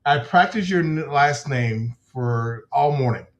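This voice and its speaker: Male show host, mid-pitched, eager and rambling. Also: animated, a little slow, dull audio. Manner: eager and chatty, rambling a little. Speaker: podcast host. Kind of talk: interview show hosting.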